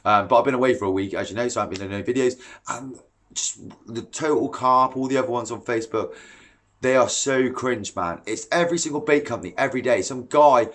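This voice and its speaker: Fishing vlogger, man in his thirties, animated and blunt, talking to a webcam in his living room. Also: mid-range voice, fast talker. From England. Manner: blunt, animated and exasperated, gestures while talking. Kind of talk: ranting to camera. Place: living room at home, indoors. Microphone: webcam at desk distance.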